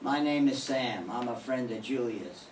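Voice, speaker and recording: A man's voice: mid-range voice, measured pace, far from the mic, lightly acted. Older man playing a hard-boiled detective, clipped blunt questions, echoey room, faint background noise. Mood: probing. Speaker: amateur radio drama performer. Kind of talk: live reading of a 1940s radio detective drama.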